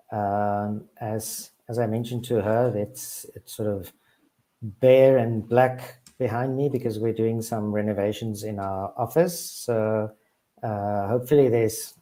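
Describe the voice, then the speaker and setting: Slow, mellow South African man. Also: fairly low pitch. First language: Afrikaans. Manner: hesitant, many restarts and fillers. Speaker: guest. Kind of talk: casual interview talk. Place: office.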